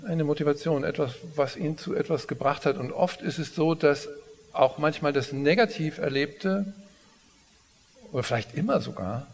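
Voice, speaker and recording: Older male host, onstage interview, friendly short questions, voice sits a bit high. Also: moderate pace, gravelly. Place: large conference hall stage.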